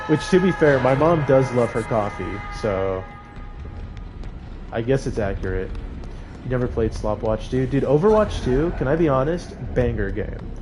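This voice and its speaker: A male game streamer with a slightly low-pitched voice talks in a loose, slangy, conversational way. He chats with viewers and reacts aloud to the game, with music clearly playing behind him. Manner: informal slangy banter, reacting aloud to gameplay. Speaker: video game livestreamer. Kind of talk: casual gaming stream chat with live reactions.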